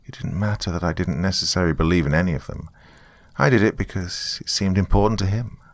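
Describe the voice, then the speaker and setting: Very deep, gravelly male storyteller. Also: fast, dry recording. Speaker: narrator. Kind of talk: scripted first-person horror story narration.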